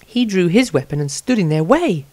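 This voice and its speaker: A man's voice: high-pitched, big pitch swings, moderate pace, a little mellow, no room sound, pitched above the performer's own voice. A man voicing an earnest, indignant young trainee priestess reading out her diary in a fantasy tale. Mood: concerned, dismayed. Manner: prim, indignant storytelling.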